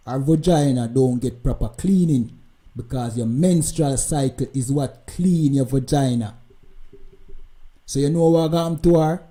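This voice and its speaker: A Jamaican man with a very deep, mellow, dark tone delivers heated live-stream commentary. He presses his points hard and keeps circling back with "you understand?" and "you know what I mean." His pitch sits in an ordinary middle range.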